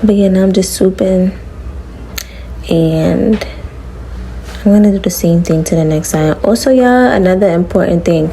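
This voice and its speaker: A young woman with a raspy voice chatting casually to viewers as she styles her hair, relaxed and full of slang, in a small room. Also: mid-range voice, moderate pace, long pauses, slight background noise, poor audio. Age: young adult. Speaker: beauty vlogger. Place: small room before a green screen.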